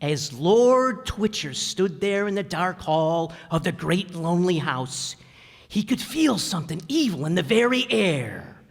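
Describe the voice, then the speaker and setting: A jittery, blustering middle-aged father, played by a man in a radio comedy. He stammers and hedges, his pitch swinging widely, in a big echoing hall. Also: very animated, a little slow, heavily acted. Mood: spooky reading. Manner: stammering, flustered, hedging. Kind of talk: old-time radio comedy acting.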